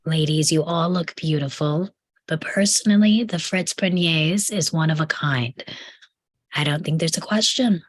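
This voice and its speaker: A woman's voice: low voice, measured pace, dry recording. A woman playing a poised, smug rich woman with falsely sweet composure in a comedy table read. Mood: smug politeness.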